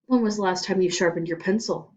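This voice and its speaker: Woman playing a menacing male principal, children's storybook, barking, deliberate interrogation, intimidating, muffled lo-fi sound. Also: mid-range voice, a little mellow, distant mic, close to an everyday voice. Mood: stern. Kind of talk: children's storybook read-aloud.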